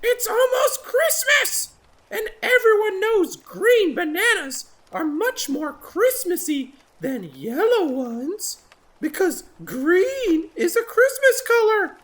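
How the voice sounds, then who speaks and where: A woman voicing an excitable, festive female oxpecker bird in a children's storybook, chirping with insistent, drawn-out exclamations. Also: very high-pitched, pitched above the performer's own voice, full character voice. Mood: excited, insistent.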